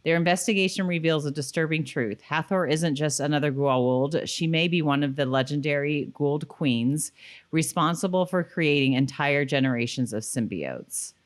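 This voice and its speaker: A woman's voice: deep voice, moderate pace. A woman talking as a podcast co-host about television. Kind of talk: casual conversational TV episode discussion.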